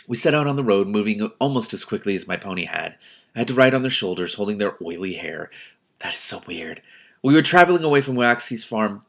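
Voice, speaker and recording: A man reading a novel aloud as host, with casual, exasperated asides in an expressive manner. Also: lowish voice. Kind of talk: audiobook-like reading with casual reactions.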